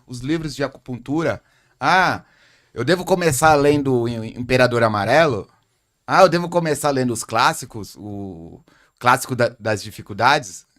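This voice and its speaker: A Brazilian man with a mid-range voice hosts a relaxed podcast. He talks conversationally and poses questions he then answers himself, tagging many lines with a quick "right?". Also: medium pitch. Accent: Brazilian Portuguese. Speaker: podcast host, acupuncturist. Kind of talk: casual podcast chat.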